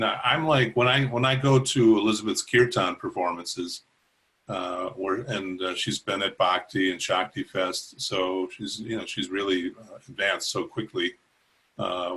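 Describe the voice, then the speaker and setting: A man speaking as a guest over a video call, talking haltingly with many restarts and light laughs. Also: sits low, steady tone, pushed and bright, breathy edge, rough recording. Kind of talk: casual chat.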